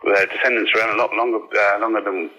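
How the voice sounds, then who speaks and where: A man's voice: fairly low pitch, fairly even intonation, very fast, crowd noise. A man giving matter-of-fact, slightly bemused answers in a casual interview over the telephone. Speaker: phone-in guest, aquarium worker. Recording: noisy phone call on radio.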